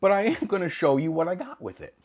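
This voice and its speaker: Middle-aged male vlogger, slightly hoarse, rambling and jokey, lively up-and-down delivery. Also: high-pitched, fast talker. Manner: rambling, self-deprecating, jokey asides. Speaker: film hobbyist channel host. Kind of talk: casual talk to camera.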